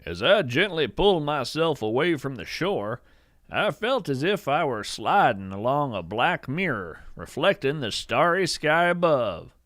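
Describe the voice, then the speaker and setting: A man playing an earnest, awestruck naturalist, narrating in a poetic, measured storytelling style. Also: a little high, sing-song, fairly bright tone, no room sound, studio quality. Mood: hushed wonder. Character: awestruck bearded male naturalist who studies strange creatures. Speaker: host and narrator of a fiction podcast. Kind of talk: scripted first-person narration, storytelling.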